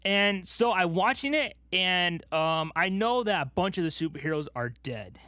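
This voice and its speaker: Male podcast co-host, fairly high voice, casual and animated storytelling, recorded dry. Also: normal speed, dry recording. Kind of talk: casual chat about movies.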